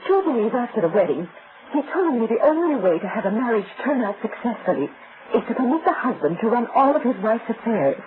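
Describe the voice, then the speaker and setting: Worried lonely wife, plaintive, earnest, melodramatic old radio play, muffled, noisy recording. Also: female voice, a little high, sing-song, brisk. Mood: regretful. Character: anxious, lonely, gullible newlywed wife in her thirties. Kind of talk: old-time radio drama acting.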